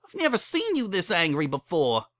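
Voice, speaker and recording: A woman's voice: fairly low pitch, wildly swooping pitch, normal speed, fairly bright tone, no room sound, voice pushed up. A woman voicing a timid, anxious young female pony in a dramatic story reading, hesitant and pleading. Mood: frightened.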